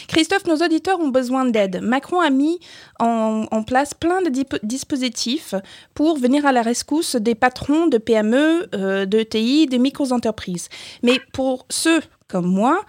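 Upbeat high-voiced host with English-speaking accent. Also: female voice, darkish tone, no echo, studio quality. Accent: English-speaking accent in French. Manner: upbeat, chatty, slightly scripted. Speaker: podcast host, business founder. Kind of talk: podcast talk and interview.

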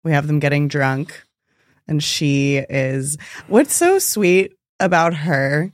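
A woman's voice: low-pitched, lively intonation, slow, dry recording, clean studio sound. A woman co-hosting a podcast, chatting casually and laughing often. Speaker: podcast co-host. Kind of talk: casual chat.

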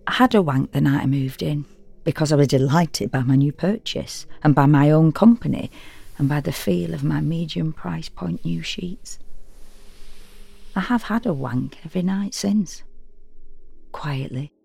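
An actress playing a frank, wry, solitary woman in an audio drama monologue, confiding in an intimate, deadpan way in short, halting phrases. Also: low voice, creaky, slightly rough, dry recording, heavily acted. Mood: quietly content. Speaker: actor performing a solo audio drama. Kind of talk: first-person dramatic monologue, confessional and conversational.

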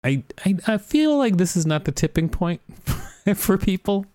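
A man's voice: high-pitched, very animated, unhurried, mellow, no room sound, studio quality. A man co-hosting a podcast, reading an article aloud and chatting casually. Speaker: podcast co-host.

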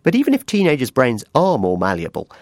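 A man presents a current affairs science podcast, reading links and asking questions. His medium-pitched voice moves up and down a lot, recorded close and dry. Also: huge pitch swings, brisk, a little mellow, no echo. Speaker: podcast host. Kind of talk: scripted presenting and interviewing. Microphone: close studio microphone.

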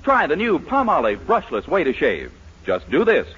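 A man's voice: a little high, huge pitch swings, moderate pace, a bit bright, husky. A man working as a radio announcer and narrator, with polished, theatrical 1940s scripted delivery. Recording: muffled old radio broadcast recording.

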